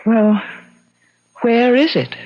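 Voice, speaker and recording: A woman's voice: a little high, lively intonation, takes it slowly. An actress playing a genteel lady of the house in a 1970s radio drama, imperious and doting, with an insistent, coaxing manner. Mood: puzzled. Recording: muffled old radio broadcast, faint hiss.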